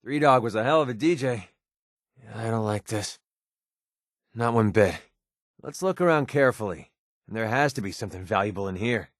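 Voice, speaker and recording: A man playing a young, wisecracking, cynical mercenary with a soft side in a video game, speaking in a wry, colloquial way. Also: on the low side, expressive, normal speed, pauses often, dry recording, clean studio sound, natural voice. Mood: amused, cautious. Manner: wry, sarcastic, colloquial delivery. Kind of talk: scripted video game companion dialogue.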